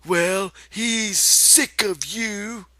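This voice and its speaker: A man voicing a giant imaginary rabbit that sneers and scolds in a high, harsh voice. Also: drawn-out words, bright, forceful tone, no echo, studio quality, heavily acted. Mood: scornful. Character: giant scornful imaginary rabbit, male, taunting.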